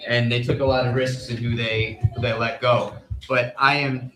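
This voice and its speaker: A man speaking as a sports reporter on a live panel, careful and measured, often restarting his sentences. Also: mid-range voice, flat delivery, fairly bright tone, a little hiss or hum. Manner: measured, careful, halting with repeated starts. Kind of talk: live panel discussion, analysis. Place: live convention stage. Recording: echoey live convention room.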